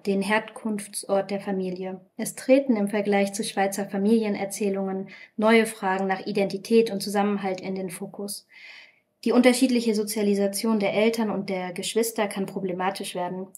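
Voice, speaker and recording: A woman, presenting a literature podcast in a measured, scripted reading style. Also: medium pitch, a little mellow. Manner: measured, reads quoted passages aloud.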